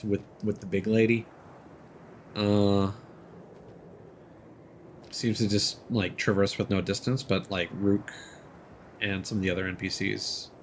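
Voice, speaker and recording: Lively low-voiced male game streamer. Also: slight background noise. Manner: thinks aloud, loose and rambling with many "like"s. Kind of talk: casual live-stream commentary.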